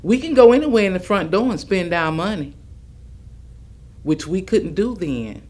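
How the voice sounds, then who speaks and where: An actress playing a steadfast older civil rights veteran, plainspoken and deliberate, in a staged reading with a creaky voice. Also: female voice, fairly low pitch, expressive, darkish tone. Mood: grave, firm. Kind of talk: staged reading of oral history testimony.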